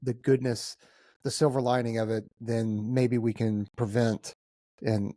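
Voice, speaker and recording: A man's voice: mid-range voice, flat delivery, unhurried, dark tone, dry recording, clean studio sound. An American man hosting a casual podcast conversation in an informal, conversational way. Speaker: podcast host.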